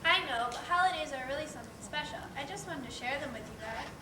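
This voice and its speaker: A woman's voice: high-pitched, expressive, fast talker, recorded from a distance. A woman playing an earnest, eager young girl crab in a children's stage play. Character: earnest young female crab, dreamy holiday lover. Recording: very echoey, noisy hall from afar.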